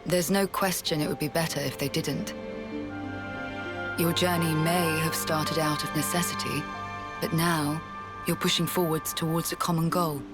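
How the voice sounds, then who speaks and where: Loud music plays behind an actress as a resolute female commander. She speaks in measured, formal phrases with steady self-assurance, as in a dramatic game cutscene. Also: low-pitched, close to an everyday voice. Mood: earnest, reflective. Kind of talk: video game cutscene acting. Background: game soundtrack music.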